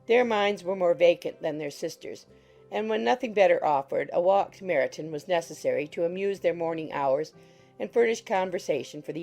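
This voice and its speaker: A woman narrates an audiobook from a script. Her voice is mellow and fairly low, with a creaky edge.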